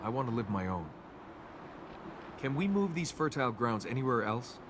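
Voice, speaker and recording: Man acting a wisecracking young adventurer with lively swings. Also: medium pitch, moderate pace, lightly acted. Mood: wry. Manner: sardonic, flippant, conversational. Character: cocky, wisecracking young male adventurer, self-centred but good-humoured. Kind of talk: video game dialogue acting. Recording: noisy game ambience behind voice.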